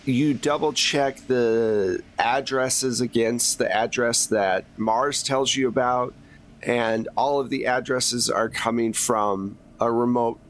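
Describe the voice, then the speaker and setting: A man's voice: medium pitch, fairly even intonation, slow pace. A man running a tabletop roleplaying game, improvising descriptive narration in a conversational way. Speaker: game master.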